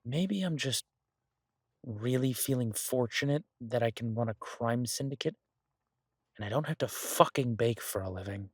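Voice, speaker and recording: A man's voice: mid-range voice, lively intonation, slightly rough, dry recording. Man acting wry, charming mafia boss, close and dry. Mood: fond, playfully smug. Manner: casual, self-mocking, mock-boastful, hesitant pauses. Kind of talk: audio roleplay voice message, intimate rambling sweet talk.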